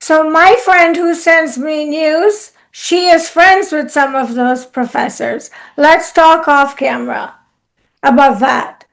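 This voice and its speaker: A woman's voice: sits high, steady tone, takes it slowly, gravelly. A woman from Guyana hosting a casual talk show, asking questions and steering the conversation. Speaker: host.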